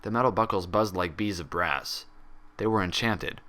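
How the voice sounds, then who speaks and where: A man's voice: deep voice, moderate pace, fairly bright tone. A man reading fan fiction aloud as a narrator, in a dramatic scripted storytelling style.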